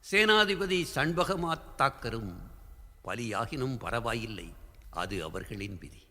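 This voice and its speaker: A man's voice: mid-range voice, expressive, slow, pushed and bright. An actor playing a proud, guilt-ridden king in a historical radio drama, declaiming formally. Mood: defiant. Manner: declamatory, formal classical diction. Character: proud, guilt-ridden Tamil king confessing to his queen. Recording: long hall-like reverb.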